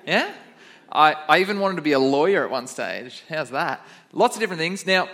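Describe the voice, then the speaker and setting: Upbeat kids pastor, higher voice. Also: male voice, lively intonation, normal speed, a bit bright. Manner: upbeat, chatty, quizzes the kids. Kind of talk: children's sermon to a church. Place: church.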